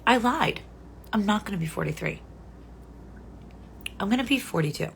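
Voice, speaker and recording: A woman's voice: mid-range voice, animated, normal speed, vocal fry, fairly bright tone, slight background noise. A woman in her forties chatting casually with viewers on a live stream, rambling and correcting herself with frequent ums. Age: 40s. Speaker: livestream host.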